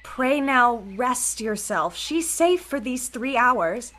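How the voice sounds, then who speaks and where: An actress playing an innocent, tender-hearted, sheltered young maiden in a Shakespeare radio play, earnest and pleading. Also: female voice, fairly high pitch, expressive, slow pace, a bit bright. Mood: tender concern.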